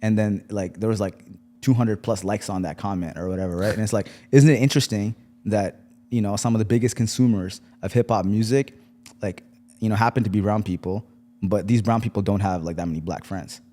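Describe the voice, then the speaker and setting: Low-voiced, fast-talking male guest. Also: mellow. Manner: reflective, loose and meandering. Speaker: podcast guest. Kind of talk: casual podcast chat.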